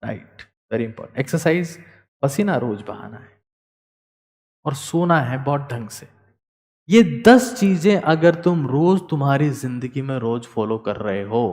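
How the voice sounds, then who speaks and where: A man giving a motivational study lecture as a teacher, emphatic and repeating key words, swinging between loud and soft. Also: medium pitch, expressive, measured pace, big-room sound.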